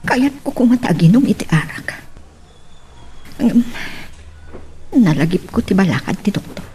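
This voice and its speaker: A woman's voice: medium pitch, animated, unhurried, warm tone, breathy edge. A Filipino woman playing a defensive, scheming character in a radio drama. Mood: brooding, scheming.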